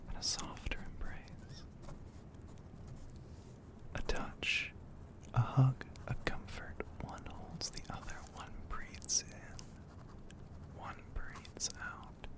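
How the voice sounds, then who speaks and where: A man narrating an ASMR story in a breathy, hushed whisper, poetic and full of pauses, close to the microphone. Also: medium pitch. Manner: slow, hushed, poetic delivery with frequent pauses.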